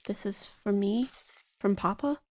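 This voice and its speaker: A woman's voice: mid-range voice, unhurried, dark tone, close to an everyday voice. An actress playing a timid, withdrawn young woman who speaks in short, hesitant, meek phrases in a radio drama. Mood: bewildered, meek.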